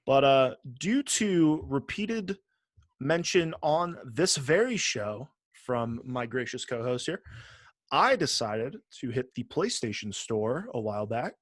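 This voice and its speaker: A man's voice: mid-range voice, no room sound. Wry, casual man, dry close sound. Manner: sweary, wry mock-formal phrasing. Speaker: podcast co-host. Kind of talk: casual chat about video games.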